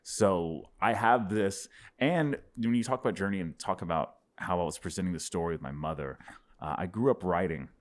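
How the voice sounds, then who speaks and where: A man's voice: deep voice, moderate pace. A man in his thirties from Dallas, a stand-up comedian talking reflectively about his life in a home studio. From Dallas, Texas. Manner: reflective storyteller, animated hand gestures. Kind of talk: casual interview chat. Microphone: boom-mounted studio mic with headphones.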